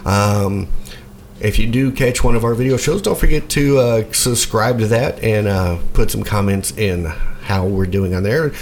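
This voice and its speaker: A man's voice: lowish voice, moderate pace, gravelly, a little hiss or hum. A man co-hosting a casual radio show, rambling with lots of fillers and jokes. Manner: rambling, filler-heavy, joking. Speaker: podcast co-host.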